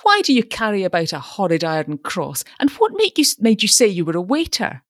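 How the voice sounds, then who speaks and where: A woman's voice: mid-range voice, huge pitch swings, brisk, no echo, clean studio sound, pitched above the performer's own voice. A woman voicing a mischievous, girlish young sweetheart in a romantic story reading. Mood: evasive, mischievous. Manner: playful, faltering. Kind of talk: dramatised short story reading.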